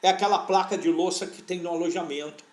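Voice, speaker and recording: An older man, a veterinary professor, telling stories from his life in an unhurried, rambling way with frequent restarts. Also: high voice, fairly even intonation. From born in Portugal, raised in Brazil. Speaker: veterinary parasitology professor, interview guest. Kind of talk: relaxed conversational storytelling.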